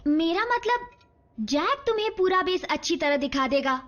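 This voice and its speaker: A woman voicing an excitable, inquisitive young girl with a chirpy manner in an animated series dub. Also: extremely high pitch, normal speed. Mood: excited, curious. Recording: dull lo-fi dub with faint music.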